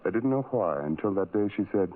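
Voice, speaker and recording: A man's voice: on the low side, expressive, fairly quick, slight background noise, lightly acted. Over a tinny, narrow old radio recording comes a man acting out a tormented, lonely soul. He broods in incantatory, repeated phrases, full of self-loathing, in the manner of a radio horror play. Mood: bitter recollection. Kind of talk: 1970s radio drama monologue.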